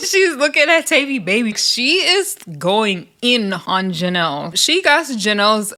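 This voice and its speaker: A woman's voice: fairly high pitch, big pitch swings, measured pace, pushed and bright. A woman giving casual, candid commentary on a reality show, swearing and laughing often. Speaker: reality TV recap host.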